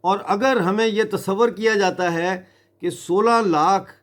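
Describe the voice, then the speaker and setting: This is a man. He delivers a political appeal in a grave, deliberate way. He breaks his sentences with emphatic pauses, as an activist addressing a government would. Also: high-pitched. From Mirpur in Kashmir.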